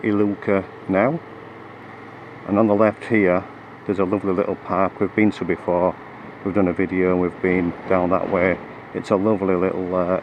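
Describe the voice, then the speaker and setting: A man with a low, level voice made croaky by a lingering cold talks while riding his motorbike. He rambles through the route with frequent ums and self-corrections. Steady engine and wind noise sit behind him. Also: muffled recording. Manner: hesitant, many fillers and restarts. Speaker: motorcycle vlogger. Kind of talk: rambling ride commentary. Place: on a motorcycle on the road.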